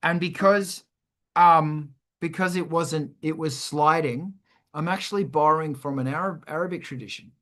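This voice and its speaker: A man hosts a casual music lesson in a bright, punchy voice that sits a little high. He explains in an irreverent, animated way and swears freely as he goes. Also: moderate pace. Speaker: host of a music production show. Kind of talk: casual music teaching with sung demonstrations.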